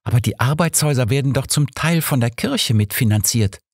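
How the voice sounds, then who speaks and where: A man's voice: mid-range voice, animated, a little fast, slightly rough, no room sound, studio quality, voice pushed up. A man voicing a curious, naive, polite young woman in an audiobook, earnest and questioning. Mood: naive surprise. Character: curious, naive, well-mannered young woman, the detective's companion.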